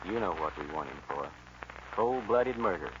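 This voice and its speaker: An actor playing a stern frontier sheriff with an American accent, in 1940s radio western style. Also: male voice, sits low, lively intonation, normal speed. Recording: muffled, noisy old radio recording.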